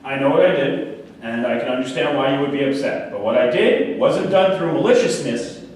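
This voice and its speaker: A man's voice: mid-range voice, lively intonation, moderate pace, darkish tone, close to an everyday voice. A man in a staged play reading, playing a deluded, obsessive murderer who insistently justifies himself. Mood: pleading, earnest. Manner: insistent, self-righteous. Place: echoey room at a staged reading, far microphone. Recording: very echoey room, distant mic, faint noise.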